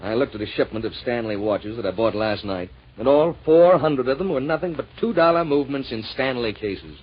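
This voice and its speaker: A man's voice: mid-range voice, lively intonation, a little fast, slightly rough. A man playing an earnest, troubled shop owner in an old-time radio drama. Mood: upset, earnest. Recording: muffled vintage radio recording.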